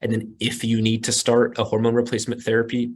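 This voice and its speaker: A hoarse male doctor, losing his voice, explaining medical details in precise, technical terms. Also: medium pitch, fast talker. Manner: precise, jargon-heavy, steady explaining. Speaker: physician guest.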